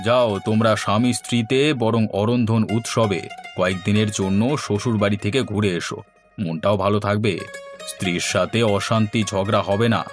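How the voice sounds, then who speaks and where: A man voicing a benevolent, dignified boss in a fairy-tale cartoon, magnanimous and measured. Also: on the low side, natural voice. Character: benevolent, dignified male boss granting favours.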